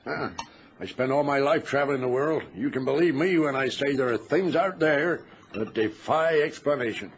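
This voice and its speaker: Male actor, veteran sea captain, commanding, polite, middle pitch, radio drama, lo-fi sound. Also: moderate pace, natural voice. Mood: courteous, wary. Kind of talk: old-time radio drama acting. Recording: muffled lo-fi radio recording with hiss.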